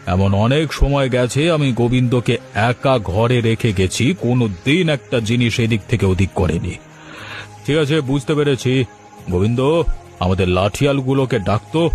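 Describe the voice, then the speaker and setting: An actor playing a wealthy, credulous and commanding village landlord in a cartoon story. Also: male voice, medium pitch, lively intonation, moderate pace, darkish tone, natural voice. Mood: stern. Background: background music.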